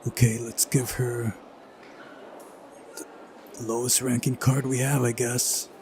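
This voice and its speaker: A man's voice: mid-range voice, flat delivery, slow pace, darkish tone, a little hiss or hum. A man narrating a visual novel playthrough, reading aloud and adding casual commentary. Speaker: let's play host.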